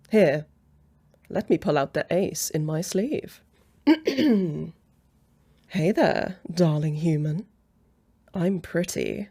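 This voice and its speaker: A woman's voice: mid-range voice, warm tone, slightly rough, full character voice. A woman playing a haughty, jealous female vampire in an ASMR roleplay, with a snippy, sarcastic way of talking. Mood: insistent, flirty. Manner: snippy, sarcastic, easily flustered.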